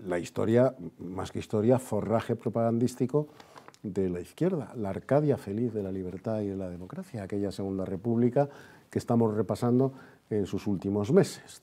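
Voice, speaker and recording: A man's voice: mid-range voice, warm tone. A man with an accent from Spain hosts an opinionated history discussion, speaking in an emphatic, sardonic manner and repeating phrases. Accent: Spanish from Spain. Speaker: host and interviewer.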